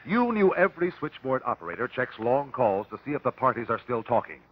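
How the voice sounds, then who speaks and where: An actor playing a shrewd, relentless detective at an inquest, measured and authoritative, in vintage radio drama style. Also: male voice, medium pitch, big pitch swings, a little hiss or hum, natural voice. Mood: pressing, accusing. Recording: dull vintage radio broadcast recording.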